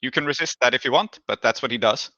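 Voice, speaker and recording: A man acting as game master, explaining rulings and narrating a tabletop game in a measured, deliberating way. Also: medium pitch, sharp tone, no echo, muffled recording.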